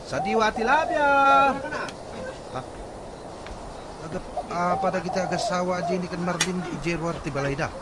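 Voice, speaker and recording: A man acting in an Ilocano radio comedy drama as an excitable, exclamatory, put-upon husband who peddles fish. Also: high voice, moderate pace, long pauses, heavy background noise, natural voice. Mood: hawking, grumbling. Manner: exclamatory, wailing when upset.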